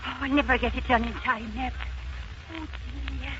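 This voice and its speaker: An actress playing an eager, nervous young Irish housemaid in a 1940s radio drama, with an Irish accent. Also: female voice, fairly high pitch, fairly even intonation, normal speed, very noisy, lightly acted. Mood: flustered, awed. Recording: muffled 1940s radio recording, music behind.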